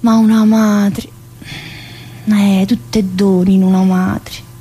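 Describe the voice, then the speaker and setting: A woman's voice: mid-range voice, flat delivery, slow, warm tone, husky. An actress playing a chatty, wistful mother in prison, in a colloquial theatrical monologue with a Southern Italian, Neapolitan-tinged accent. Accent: Southern Italian, Neapolitan-influenced. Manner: colloquial, addressing listeners with frequent tag questions.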